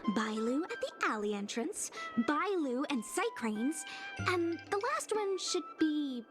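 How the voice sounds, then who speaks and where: A woman voicing a brisk, enterprising young female merchant in a video game, with music behind her. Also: high voice, very animated, heavily acted. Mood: eager, persuasive. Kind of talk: video game character dialogue.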